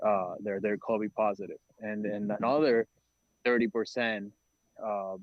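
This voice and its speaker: Halting low-voiced man, flat delivery. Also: no echo, lo-fi sound. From Dominican Republic. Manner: halting, many "uh" fillers and restarts. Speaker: nonprofit testing organizer. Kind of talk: briefing a council meeting.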